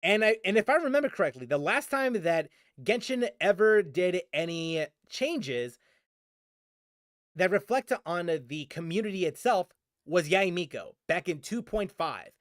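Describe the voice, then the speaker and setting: A man's voice: high-pitched, lively intonation, a little slow, no room sound, clean studio sound. A man streaming a game and ranting casually, rambling with many restarts and fillers. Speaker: gaming streamer.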